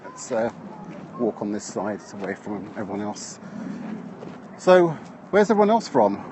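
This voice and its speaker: A man's voice: fairly low pitch, very animated, measured pace, noisy background. An English man talking casually while walking by a lake, greeting and thanking viewers of his live phone stream. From England. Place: outdoors by a busy lakeside. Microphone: phone held while walking.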